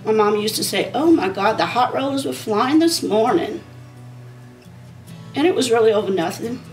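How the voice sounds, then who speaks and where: A woman in her forties talks through her makeup in a loose, rambling way, as if chatting with a friend. Her voice is a little hoarse from a sinus problem. She sits a short distance from the mic, with faint music playing behind her. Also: fairly high pitch, expressive, moderate pace, a little mellow. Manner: rambling and conversational, talking while applying makeup. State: losing her voice from a sinus issue. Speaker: beauty vlogger. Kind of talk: casual chatty makeup tutorial.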